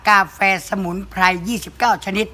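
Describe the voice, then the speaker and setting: A woman's voice: brisk, sharp tone. An older woman with a northeastern Thai Isan accent, chatting casually and jokingly as an entertainer and product presenter.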